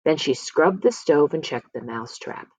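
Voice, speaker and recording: Very animated woman reading a picture book. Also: low-pitched, husky, no echo. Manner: expressive, dramatic pauses and emphasis. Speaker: read-aloud storyteller. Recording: slightly dull, lo-fi close recording.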